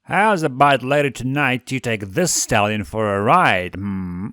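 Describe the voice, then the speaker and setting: A man puts on a small male imp for a story reading. He is brash and casual, crude but doting. His delivery is very animated in pitch, with a dry sound. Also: measured pace, smooth, no echo. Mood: brash, teasing. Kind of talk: fan fiction story reading.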